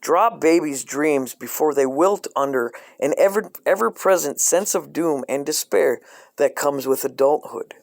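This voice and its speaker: A man reading a dark comic coloring book aloud in a deadpan way, breaking off into profane asides. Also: a little high, a little slow, vocal fry, no echo, studio quality.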